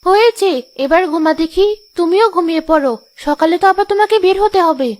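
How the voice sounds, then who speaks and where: A woman playing a doting village wife in a cartoon story, speaking in a dramatic, expressive way. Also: very high-pitched, a little fast. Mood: affectionate. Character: childless village wife, doting on her pet goose as a daughter. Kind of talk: Bengali cartoon story dialogue.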